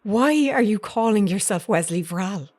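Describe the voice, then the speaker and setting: A woman's voice: medium pitch, animated, a little slow, a little mellow. An actress playing a grave woman reporter in an audio horror drama, questioning bluntly. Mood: tense, blunt. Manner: probing, blunt. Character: grave woman reporter confronting a doomed loved one.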